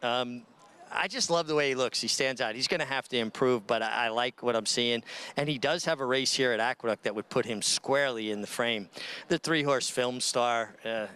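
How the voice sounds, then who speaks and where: A middle-aged man sizing up racehorses in the paddock, enthusiastic and conversational. His voice is bright and clear, with a crisp, resonant tone. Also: mid-range voice, moderate pace. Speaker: paddock analyst. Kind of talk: racing paddock report.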